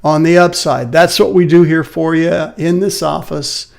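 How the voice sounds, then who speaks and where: Tired, slightly raspy man. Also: a little high, steady tone, normal speed. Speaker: financial advisor hosting a live market update. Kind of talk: casual live market commentary. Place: his office.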